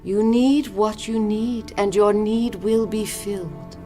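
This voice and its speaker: A woman playing a composed, probing spiritualist fortune teller in an audio drama, speaking in a deliberate, knowing manner. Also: medium pitch, music in the background, natural voice. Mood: solemn, mystical.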